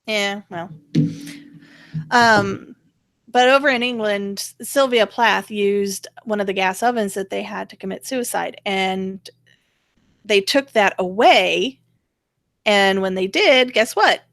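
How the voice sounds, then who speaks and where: A woman's voice: mid-range voice, slow, fairly bright tone, no echo. A woman giving casual political commentary, explaining her opinions in a wry, conversational way. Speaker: co-host of political rant show.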